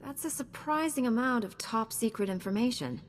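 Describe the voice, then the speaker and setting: A woman's voice: fairly high pitch, expressive, normal speed, faint music behind, natural voice. An actress playing a young, composed female Jedi hero, cool and self-assured, in a video game. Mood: cool, inquiring. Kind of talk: scripted game dialogue.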